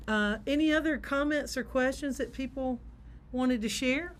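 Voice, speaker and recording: A woman's voice: high voice, moderate pace. Woman moderating, faint background noise. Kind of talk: informal moderating. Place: video call. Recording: faint noise on video call.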